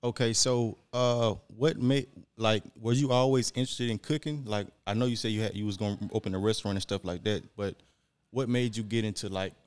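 A man with a fairly low, smooth, clear voice hosts a podcast from a small studio. He asks his questions in a relaxed, conversational way, speaking close to a desk microphone. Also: a little fast. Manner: laid-back conversational questions. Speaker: podcast host. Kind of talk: casual interview. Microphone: desk mic on boom, headphones.

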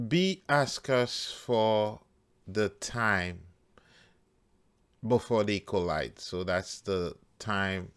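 A man working through a math problem step by step as a teacher, deliberate and halting phrase by phrase. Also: deep voice, fairly bright tone, clean tone. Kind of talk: step-by-step worked-problem tutorial.

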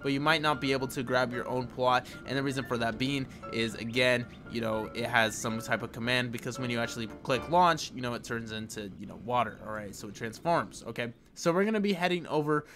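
A man with a slightly raspy voice giving casual, chatty gameplay commentary as a video host, often circling back with little asides. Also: mid-range voice, a little fast, few pauses. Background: game music.

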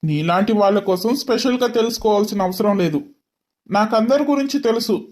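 A woman's voice: medium pitch, expressive, brisk, a little mellow, pitched below the performer's own voice, natural voice. A woman voicing a cold, mocking rich young husband in a drama story, drawling with sarcasm. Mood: irritated, sarcastic.

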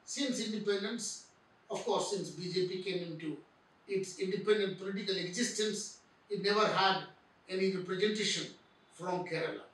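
A middle-aged man giving measured political commentary to camera, speaking deliberately with pauses, seated indoors by a window. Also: sits high, fairly bright tone, far from the mic. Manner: deliberate, slow phrasing with pauses and fillers. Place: indoor room by a frosted window. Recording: very echoey room, mic set back.

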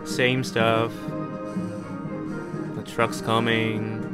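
Man commentating a handheld game at home, slow and halting, mid-pitched, game music playing behind. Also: level tone. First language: not Japanese. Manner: hesitant, many pauses and fillers. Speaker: gameplay commentator. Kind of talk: casual game playthrough commentary.

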